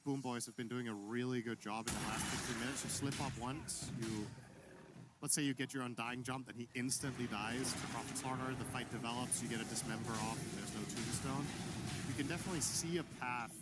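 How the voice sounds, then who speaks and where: A man's voice: mid-range voice, fairly even intonation, fairly quick, heavy background noise. A man giving analytical color commentary on an esports match, thinking aloud as he explains the play. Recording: commentary over loud game music.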